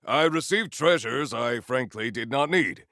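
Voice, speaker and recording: A man playing a solemn, proud warrior hunter in a video game, speaking in formal, stilted, declamatory sentences with a gravelly low voice. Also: lively intonation, a little slow, pushed and bright, no room sound, clean studio sound, full character voice. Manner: formal, stilted, declamatory speech without contractions. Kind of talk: video game character acting.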